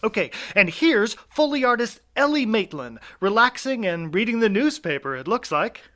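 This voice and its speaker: Bright, high-voiced man hosting with theatrical flair. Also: sing-song, normal speed, a little hiss or hum. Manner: mock-suspenseful, playful, effusive. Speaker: host, director and adapter of a live audio play. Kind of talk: playful theatrical introduction and backstage narration.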